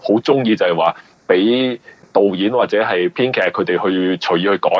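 A man's voice: sits low, moderate pace, dry recording. A man with a Hong Kong accent giving casual interview answers as a novelist, explaining at length and often rephrasing. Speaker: mystery novelist, interview guest. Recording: dull lo-fi radio interview audio.

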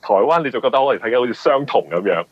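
A man with a Hong Kong Cantonese accent, a novelist explaining his work in a conversational interview, often halting and repeating words. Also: medium pitch, animated, husky, no echo, lo-fi sound. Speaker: novelist guest.

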